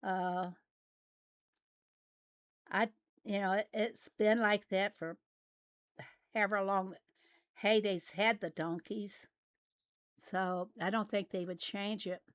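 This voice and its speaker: Woman, raspy, slow unhurried pace, casual livestream chat, dry close mic. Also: mid-range voice, fairly even intonation, no room sound. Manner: unhurried, chatty, reads out and answers viewer messages. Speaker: game livestreamer.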